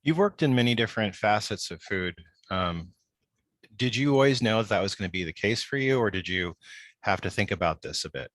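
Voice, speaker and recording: A man's voice: lowish voice, animated, a little fast, a bit bright, no room sound. A man hosting a podcast, introducing and interviewing in a casual talk style. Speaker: podcast host.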